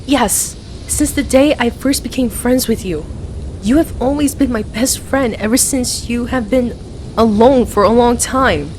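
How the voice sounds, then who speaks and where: A woman's voice: a little high, measured pace, darkish tone, slight background noise, natural voice. A woman playing a playful, affectionate young best friend with a hesitant, earnest way of talking, in an ASMR roleplay audio. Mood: earnest confession.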